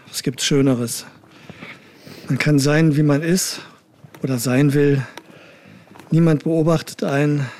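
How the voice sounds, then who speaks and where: An actor playing a chatty lone hiker with a cold, musing to himself in a wry, rambling way outdoors in the mountains. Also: male voice, on the high side, a little mellow, a little hiss or hum, close to an everyday voice. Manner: wry, musing, self-talking. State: has a cold. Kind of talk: inner monologue and dialogue.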